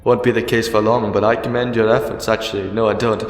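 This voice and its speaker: Man playing a low-voiced, sardonic, defiant hero. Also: level tone, fast, natural voice. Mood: dry defiance. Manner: dry, sarcastic, exasperated. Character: sardonic, defiant, ancient male time-traveller hero, captive. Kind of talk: fan audio drama acting. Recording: echoey long reverb, music behind.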